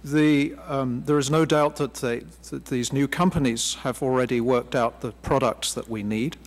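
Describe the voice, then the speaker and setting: Measured witness in a big hearing room. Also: male voice, mid-range voice, big-room sound. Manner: measured, formal, with frequent pauses. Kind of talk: testimony. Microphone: desk microphone.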